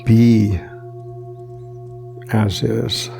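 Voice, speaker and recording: A man leading a guided meditation as a teacher, speaking gently and without hurry, leaving long pauses between short phrases. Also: fairly low pitch, level tone, vocal fry, music in the background.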